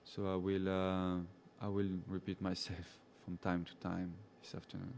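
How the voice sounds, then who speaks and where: A man's voice: sits low, normal speed, gravelly, a little hiss or hum. A man with a French accent, a safari guide casually chatting with live viewers and reading their comments aloud. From French-speaking. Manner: relaxed and chatty, laughs often, answers viewers by name.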